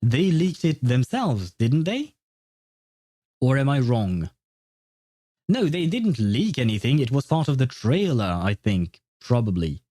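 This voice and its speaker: A man's voice: mid-range voice, big pitch swings, measured pace, mellow, no echo. A man chatting casually as a game livestream host, rambling and opinionated. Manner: rambling, opinionated, often chuckling. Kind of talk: casual gaming livestream chat.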